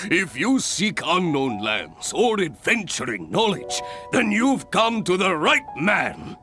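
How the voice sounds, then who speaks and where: A man's voice: sits high, wildly swooping pitch, slow, bright, forceful tone, soft background music, heavily acted. A man voicing a jovial, boastful veteran adventurer in a video game, with a grandiose theatrical manner. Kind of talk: video game cutscene voice acting.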